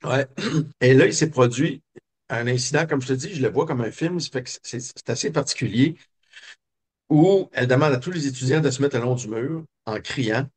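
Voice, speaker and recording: Un homme québécois, invité d'une entrevue, qui raconte son enfance d'un ton réfléchi, avec beaucoup d'hésitations. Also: male voice, medium pitch, normal speed, breathy edge. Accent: Québécois French. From Quebec. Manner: reflective, many hesitations and fillers. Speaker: guest. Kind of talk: personal storytelling interview.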